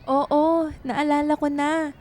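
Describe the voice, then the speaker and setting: A woman's voice: sits high, little pitch movement, takes it slowly, a little mellow, a little hiss or hum. A woman playing an earnest, tender young woman writer in love, in a radio drama. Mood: affectionate.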